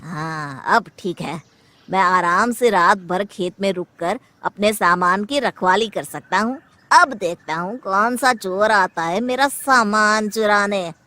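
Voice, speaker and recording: A woman's voice: mid-range voice, slow, pushed and bright, dry recording. A woman voicing a timid, easily panicked male village farmer in a story, exclaiming and pleading dramatically. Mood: determined.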